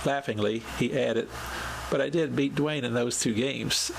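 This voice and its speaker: Male podcast host, heavily creaky voice, measured scripted storytelling, audible noise behind. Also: mid-range voice. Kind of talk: scripted narration, reading a written account.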